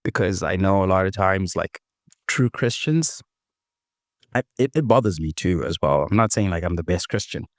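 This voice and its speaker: Low-voiced guy getting over a cold, a bit hoarse, easygoing devotional talk, dry close sound. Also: no echo. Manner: unhurried, gentle, self-correcting. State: recovering from a cold, slightly hoarse. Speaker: devotional host. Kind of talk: casual devotional reflection.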